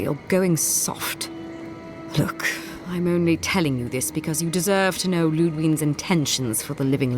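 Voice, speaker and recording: Smug, low-voiced zealot. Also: female voice. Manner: measured, formal, condescending. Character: smug zealous woman inquisitor's agent, courteously menacing. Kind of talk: scripted fantasy game dialogue.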